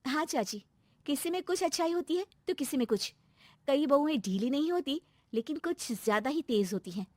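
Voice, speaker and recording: A woman's voice: high-pitched, animated, moderate pace, darkish tone, no room sound, voice pushed up. A woman voicing an outspoken, easily hurt young daughter-in-law in a family moral story, with an emphatic manner. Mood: pointed. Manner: pointed, complaining, emphatic.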